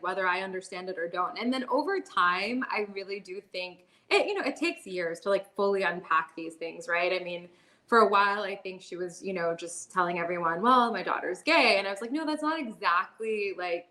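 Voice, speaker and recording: A woman's voice: mid-range voice, animated, a little fast, pushed and bright. A woman in her thirties, a podcast guest, talking in a candid, chatty way, full of "like" and "you know" fillers and light laughter. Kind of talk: candid conversational storytelling.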